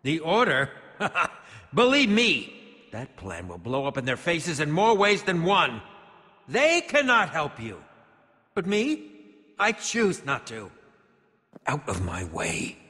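Pompous, wildly swooping game villain. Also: male voice, on the high side, wildly swooping pitch, slow pace, long pauses, sharp tone, in a large hall, exaggerated voice. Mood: dismissive. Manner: grandiose, theatrical delivery with formal wording. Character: pompous, scheming bearded male villain, theatrical and volatile. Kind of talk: video game voice acting.

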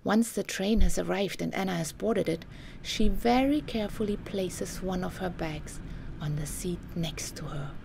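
A woman narrating a scripted documentary story in a measured, carefully enunciated way. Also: on the low side, vocal fry, a little mellow, a little hiss or hum.

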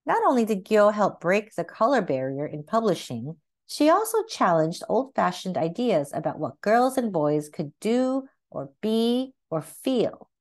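A woman reading a children's book aloud as narrator, in a measured and expressive way. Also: mid-range voice. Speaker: read-aloud host, narrator.

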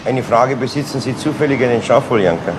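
An actor playing a composed, probing police inspector in a radio crime drama, speaking matter-of-factly. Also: male voice, mid-range voice, a little fast, close to an everyday voice. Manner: matter-of-fact, questioning. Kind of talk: 1970s German radio crime drama acting. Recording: noisy old radio play recording.

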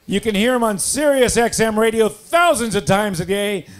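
A middle-aged man working as comedy host, announcing loudly and emphatically into a stage microphone. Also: high voice, moderate pace, a faint crowd, slight background noise. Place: small dark comedy stage indoors. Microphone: handheld stage microphone.

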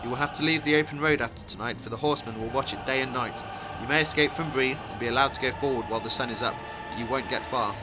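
A man voicing a calm, secretive and wary wandering stranger, with a brisk, commanding and ominous way of talking, as in a fantasy storybook. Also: mid-range voice, lightly acted. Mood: urgent, ominous.

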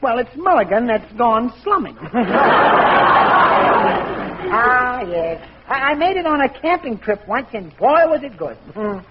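A man's voice: extremely high pitch, sing-song, measured pace, exaggerated voice. An actor playing a goofy, bumbling middle-aged father and clerk in a 1940s radio comedy, stammering and wisecracking. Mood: easygoing, hopeful. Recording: dull old radio recording, studio audience, hiss.

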